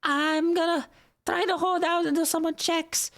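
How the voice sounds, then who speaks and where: Very high-pitched nervous doomed caller. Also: flat delivery, fairly bright tone. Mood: nervous, resigned. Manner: halting, stammering. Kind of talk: scripted horror game voicemail message.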